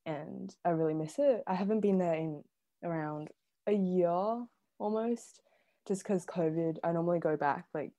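A woman's voice: lowish voice, moderate pace, mellow, lo-fi sound. A young woman from Taiwan chatting casually on a student podcast, giggly and full of "like". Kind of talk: casual chat.

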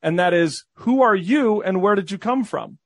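Higher-voiced male radio co-host. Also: lively intonation, moderate pace, no echo, clean studio sound. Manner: chatty, with fillers. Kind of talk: casual radio interview.

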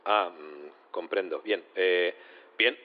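Hesitant, formal male judge, lowish voice, reverberant hall, audio comedy. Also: takes it slowly. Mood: puzzled, formal. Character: flustered but formal male judge presiding in court. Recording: long hall reverb, slightly dull.

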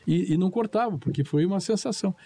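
An older man, a former TV director, telling lively anecdotes and acting out the orders he once gave. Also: high voice, fast, dry recording. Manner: lively, acts out shouted commands in retelling. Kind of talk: anecdotal storytelling.

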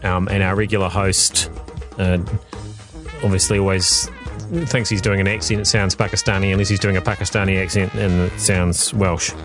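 A man's voice: sits low, little pitch movement, normal speed. New man, radio host, wry teasing, music playing behind. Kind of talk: joking radio links.

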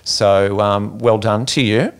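A middle-aged man hosting an interview podcast, talking in a loose, halting way full of restarts and warm praise for his guest. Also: lowish voice, steady tone, smooth. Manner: rambling, many fillers and restarts, admiring. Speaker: podcast host. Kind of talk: casual interview chat.

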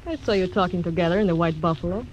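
A woman's voice: fairly low pitch, rapid-fire, darkish tone, dull audio, slight background noise, close to an everyday voice. An actress voicing a mysterious, teasing young Mexican woman with a Spanish accent, in 1940s radio acting style. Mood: teasing, fatalistic.

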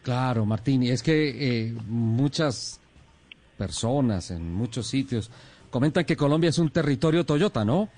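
A man's voice: medium pitch, animated, unhurried, darkish tone. Chatty male radio co-host. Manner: conversational, tags questions with "¿no?". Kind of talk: radio talk show.

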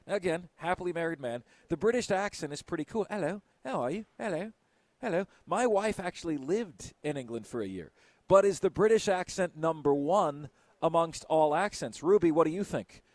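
A man hosting a sports radio show in casual banter, excitable and prone to loud laughs and silly voices. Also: on the high side, moderate pace, dry recording.

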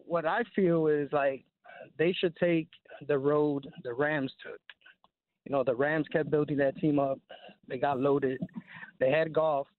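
A man's voice: fairly high pitch, steady tone, measured pace, pauses often, dry recording. A man calling a sports show by phone, giving his fan opinion in casual, slangy talk. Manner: slangy, informal. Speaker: radio show caller. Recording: dry, narrow phone line.

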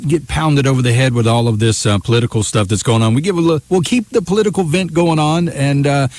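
A man's voice: mid-range voice, quick pace, a little mellow, dry recording. Dry-sounding man chatting on talk radio. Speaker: talk radio host appearing as guest. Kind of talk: casual talk radio chat.

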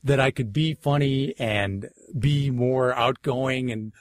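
A man's voice: medium pitch, slow, no room sound, studio quality. Easygoing man with faint Boston accent. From Massachusetts. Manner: easygoing, wry storytelling. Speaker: screenwriter guest. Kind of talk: casual interview chat.

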